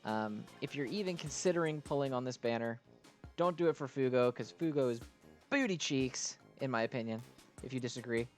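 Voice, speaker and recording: Male gaming host, medium pitch, enthusiastic and exclamatory, casual gameplay commentary with music behind. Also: fairly quick.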